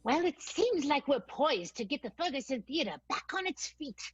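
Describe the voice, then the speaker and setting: A woman's voice: high voice, very animated, moderate pace, dull audio, music in the background. A woman acting a determined, passionate former showgirl in an improvised radio soap, earnest and declamatory. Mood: earnest confidence.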